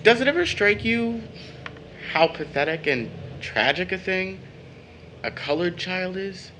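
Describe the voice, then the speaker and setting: Outspoken young man in a radio play, emphatic, bright voice swinging up n down. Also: high-pitched, a little slow, a little hiss or hum, heavily acted, rough recording. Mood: bitter, impassioned. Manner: emphatic, declamatory, expressive. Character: Tom, impassioned outspoken young brother, teasing. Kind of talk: stage play audio drama acting.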